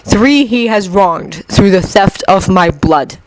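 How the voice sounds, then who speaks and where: A brave young wizard in a fantasy story, played by a narrator. He declaims with slow, formal weight. His tone is solemn and defiant, pitched in a middle register. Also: close to an everyday voice, rough recording. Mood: solemn accusation.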